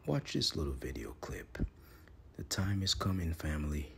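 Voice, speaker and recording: Man, podcast host, extremely low pitch, creaky fry, portentous promotional monologue, noticeable background noise. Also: sing-song, moderate pace.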